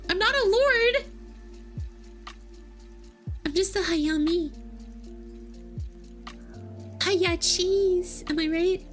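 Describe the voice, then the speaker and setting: A VTuber woman with a very high voice chats casually with her viewers, playful and giggly as she teases them. Faint background music plays behind her. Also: slow. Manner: playful and giggly, teasing chat. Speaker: VTuber streamer. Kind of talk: casual livestream chat, answering would-you-rather questions.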